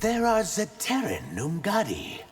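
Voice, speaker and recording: A man playing a loyal, dutiful reptilian shapeshifter in a video game, speaking in an earnest, deliberate way. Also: sits high, wildly swooping pitch, loud music behind the voice, full character voice. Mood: firm. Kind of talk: video game character acting.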